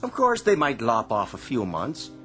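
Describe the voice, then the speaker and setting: Gruff American policeman, mid-pitched, lively swings, bossy, colloquial, radio drama with music beneath. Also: moderate pace. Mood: impatient, gruff. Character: gruff, impatient, streetwise male police officer. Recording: music bed behind dialogue.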